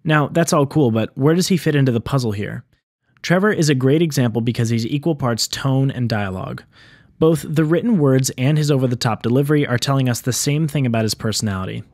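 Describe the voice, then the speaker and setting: A man hosting an explainer video, reading scripted narration in a clear teaching structure. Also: medium pitch, a little fast, darkish tone, no echo. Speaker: video essay host on game sound design.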